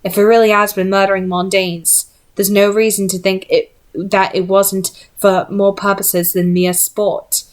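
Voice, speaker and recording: A woman voicing a polite, thoughtful young male hunter in a storybook, measured and dryly witty. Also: mid-range voice, flat delivery, lightly acted. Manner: courteous, measured.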